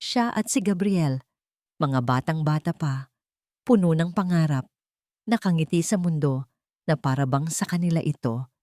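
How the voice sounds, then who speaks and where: A woman narrates a dramatic love story in a low-pitched voice. The sound is dry and close, with a scripted, storybook delivery. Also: a little slow, pauses often, mellow, no echo.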